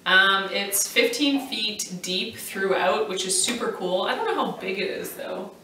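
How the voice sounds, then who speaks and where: A woman in her thirties, co-hosting a sailing vlog at home, chatty and quick to laugh. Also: medium pitch, expressive, pushed and bright, a little hiss or hum. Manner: chatty, laughs easily, playful. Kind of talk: casual chat. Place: living room at home, indoors. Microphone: far camera. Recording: echoey room, mic at a distance.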